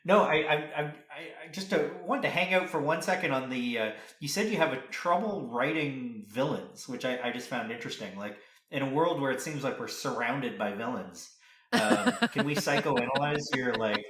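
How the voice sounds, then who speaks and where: A man hosting a casual interview in a halting, amused manner. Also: medium pitch, lively intonation, a bit bright. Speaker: podcast host, interviewer. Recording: roomy, echoey sound.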